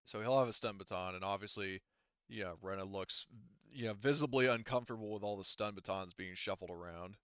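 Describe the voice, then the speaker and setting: A man's voice: lowish voice, fairly quick, fairly bright tone, smooth, no room sound, highs cut off. A man speaking casually as a game master in a tabletop roleplaying game, thinking aloud and swearing now and then.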